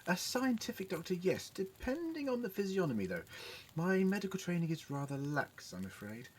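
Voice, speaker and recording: A man's voice: sits high, very animated, normal speed, slightly rough, full character voice. A man playing an eccentric, polite alien time-travelling scientist in an audio drama, with a precise, wordy manner. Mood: polite, wry. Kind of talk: fan audio drama acting.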